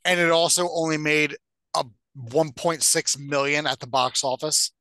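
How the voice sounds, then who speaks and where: Higher-voiced man in casual, dry-sounding chat. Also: fairly even intonation, moderate pace, pushed and bright, no echo. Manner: informal, conversational. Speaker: podcast co-host. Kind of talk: casual chat about video game movies.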